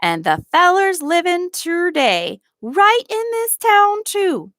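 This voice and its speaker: A woman voicing a kindly, loyal old male gardener in a storybook, speaking in a gentle, deliberate rustic dialect. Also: very high voice, very animated, no room sound, studio quality, voice pushed up, exaggerated voice. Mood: amused, sly. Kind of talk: storybook read-aloud.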